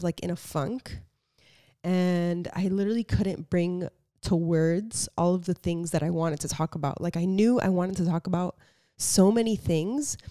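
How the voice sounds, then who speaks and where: A young woman with a stuffy, nasal voice hosts a solo podcast into a studio mic. Her tone sits low and mellow, and the sound is dry and close with no room around it. She talks openly and heartfelt, leaning on casual fillers. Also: no echo. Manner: open, heartfelt, frequent "like" fillers. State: congested, nasal from allergies. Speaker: podcast host.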